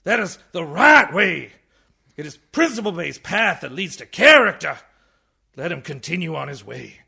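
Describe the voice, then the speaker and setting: A raspy, ranting old man in a radio comedy, creaky and piercingly sharp. His delivery swings wildly from muttering to shouted outrage, tired yet indignant. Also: on the high side, big pitch swings, moderate pace, exaggerated voice. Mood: earnest, impassioned. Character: blind, tired old man, blustering indignant orator defending a boy. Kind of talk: radio comedy play parodying a film speech.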